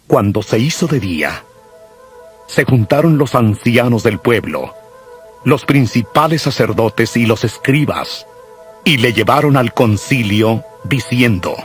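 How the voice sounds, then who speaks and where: A man's voice: medium pitch, expressive. Solemn male scripture narrator over music. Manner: measured, solemn.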